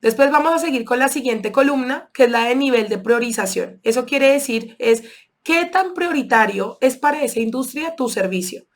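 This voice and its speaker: A woman's voice: sits high, moderate pace. A woman from Colombia with a Colombian accent, teaching an online sales course and walking through examples step by step with frequent fillers. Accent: Colombian Spanish. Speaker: sales instructor.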